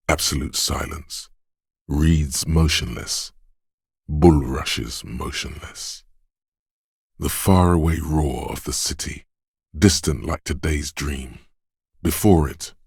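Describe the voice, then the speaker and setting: Game casting: a hushed, poetic voice of the senses, extremely low and slow, watchful and deliberate, husky. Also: male voice, no room sound, studio quality, exaggerated voice. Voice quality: husky, gravelly, breathy. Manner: slow, deliberate, hushed, savoring each sound. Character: inner voice of perception, hushed, watchful, poetic narrator. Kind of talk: atmospheric scripted game narration.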